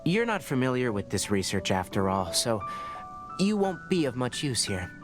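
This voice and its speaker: A man playing a composed, practical young ranger and scholar, with a mid-pitched voice. He explains things in a measured, matter-of-fact way over steady game music. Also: natural voice. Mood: firm. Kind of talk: video game voice acting. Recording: game soundtrack behind.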